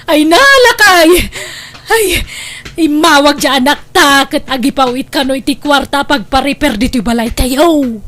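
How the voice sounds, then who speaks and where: Woman acting a high-pitched, scoffing Ilocano wife. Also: a little slow, fairly bright tone. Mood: loud, exasperated. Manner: exclaiming, scoffing. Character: loud, dismissive wife planning to fix up her house. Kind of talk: Ilocano comedy radio drama acting.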